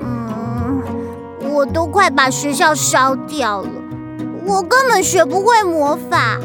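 Little witch girl, very high childlike voice, slow delivery, pouty, unsure of herself, children's radio play. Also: animated, music in the background. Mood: whiny, dejected. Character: little witch girl who doubts her magic, pouty.

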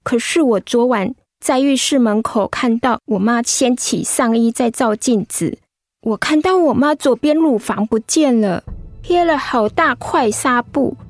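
A timid high school girl in a radio drama, speaking Taiwanese Mandarin in a high voice. She is anxious and hesitant, taking her words slowly. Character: worried, timid seventeen-year-old high school girl.

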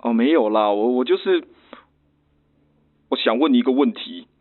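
An actor playing an awkward, eager suitor in a radio drama, fumbling and stammering through a telephone filter. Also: male voice, medium pitch, lively intonation, moderate pace. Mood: awkward, bashful. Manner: fumbling, stammering, bashful chuckles. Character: awkward, eager, clumsy practical male suitor. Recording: thin, phone-like band-limited sound.

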